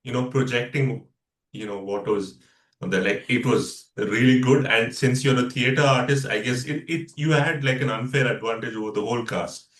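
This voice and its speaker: A man's voice: medium pitch, moderate pace, fairly bright tone, far from the mic, a little hiss or hum. Man interviewer, hesitant, lots of fillers, roomy echoey sound. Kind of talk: casual interview chat. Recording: echoey room.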